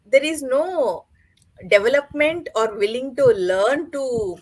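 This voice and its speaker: A woman's voice: high voice, lively intonation, slow pace, a little mellow, dry recording. Woman in casual book talk, dry sound. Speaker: book club panelist.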